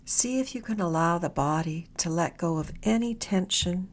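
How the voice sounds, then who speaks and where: Female meditation guide, slightly low register, soft and a touch breathy, gentle unhurried delivery with long pauses. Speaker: meditation guide, mindfulness mentor.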